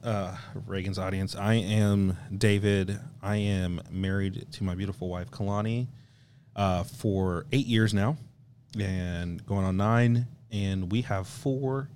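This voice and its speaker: A man, a guest coworker in a casual chat, soft-spoken as he tells stories. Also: low-pitched, fairly even intonation, takes it slowly, a little hiss or hum.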